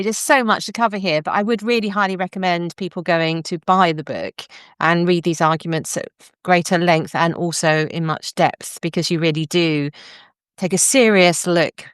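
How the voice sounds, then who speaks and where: A woman's voice: fairly low pitch, clean tone, dry recording, studio quality. A woman hosting a conversational book interview in an articulate, discursive way. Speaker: podcast host and interviewer.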